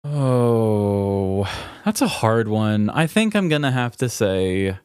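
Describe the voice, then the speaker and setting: A man in his thirties with a fairly low voice talks casually as a podcast co-host. He reasons through his opinions at length, recorded close and dry. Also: lively intonation, slow, a little mellow, clean tone, no echo. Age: 30s. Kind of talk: casual chat. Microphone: close podcast microphone.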